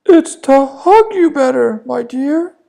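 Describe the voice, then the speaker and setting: Shrill fake-granny big bad wolf. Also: lively intonation, slow, creaky, mellow, heavily acted. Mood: sugary, sly. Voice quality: thin, strained falsetto. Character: big bad wolf faking a frail sweet grandma voice.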